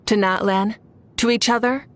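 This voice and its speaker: A woman playing a composed, confident warrior heroine in a video game, speaking in a poised, measured way. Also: fairly high pitch, fairly even intonation, lightly acted. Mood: composed, resolute. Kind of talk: scripted video game voice acting.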